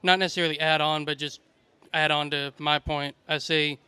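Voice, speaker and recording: A man giving an unscripted comment at a debate, halting and earnest, in a preachy way. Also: fairly high pitch, fairly even intonation, sharp tone, clear, resonant tone, no echo. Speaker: audience member commenting at a debate.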